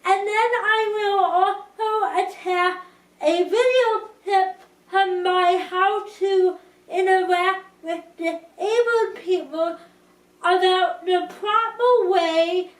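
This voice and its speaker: Young woman vlogger, very slow deliberate delivery, roomy distant sound. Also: very high voice, fairly even intonation. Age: young adult. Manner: slow, careful speech with restarts. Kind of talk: casual talk to camera.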